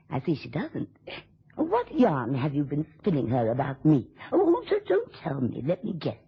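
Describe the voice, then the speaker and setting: A husky-voiced drunken wife in an old radio play, spiteful and taunting. Her pitch swoops wildly as she drawls sarcastic endearments, and the recording is dull and lo-fi. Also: huge pitch swings, measured pace, exaggerated voice. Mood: bitter, taunting. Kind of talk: radio drama acting.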